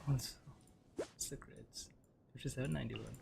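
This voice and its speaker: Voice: mid-range voice, fairly even intonation, moderate pace, gravelly. A gamer giving brief, casual, murmured reactions while playing through a story quest. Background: game music.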